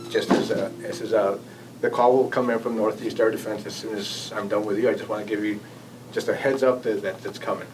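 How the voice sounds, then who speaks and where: An American man giving an informal spoken recollection as a guest speaker, in a halting, conversational manner. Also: fairly low pitch, steady tone, a little mellow. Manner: halting, conversational, acting out quoted exchanges. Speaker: guest speaker, air traffic manager. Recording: distant mic, noisy room ambience.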